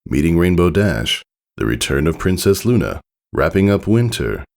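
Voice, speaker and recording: Deep, creaky-voiced man narrating a story. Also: big pitch swings, normal speed. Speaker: fan fiction reader.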